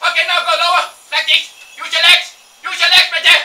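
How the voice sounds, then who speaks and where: A man's voice: very high-pitched, little pitch movement, a little fast, sharp tone, slight background noise, heavily acted. A man playing a boastful, washed-up Finnish drinking coach on a theatre stage, with a Finnish accent, barking drills and rambling. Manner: barking drill commands, then rambling chatty asides. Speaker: stage actor in a comic monologue. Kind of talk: live theatre monologue. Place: dark theatre stage with an audience. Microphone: far room mic. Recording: roomy hall sound, from far off.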